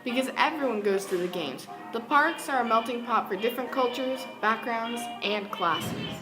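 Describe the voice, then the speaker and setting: A woman's voice: on the high side, moderate pace, rough recording. A young woman reading scripted newsreel narration as a student presenter. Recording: music playing under the voice. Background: clearly audible music.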